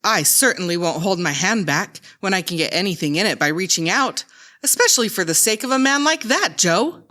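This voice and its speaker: A woman's voice: lowish voice, animated, a little fast, sharp tone. Brazen, swooping thieving charwoman, acted by a woman. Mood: defiant. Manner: defiant, colloquial, scornful. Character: brazen, unrepentant thieving charwoman, Mrs. Dilber. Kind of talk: audio drama acting.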